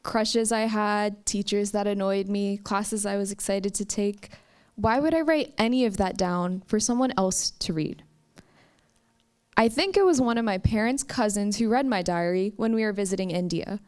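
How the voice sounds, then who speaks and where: Young woman storyteller, clear smooth voice, mid pitch, measured live delivery. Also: a little mellow. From United States. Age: young adult. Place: stage at a campus story event.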